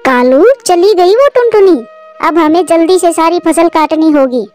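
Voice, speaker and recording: A woman's voice: extremely high pitch, lively intonation, moderate pace, darkish tone, soft background music. A woman voicing a lazy, scheming female bird in a children's cartoon. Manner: self-indulgent, conniving. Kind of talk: Hindi children's cartoon voice acting.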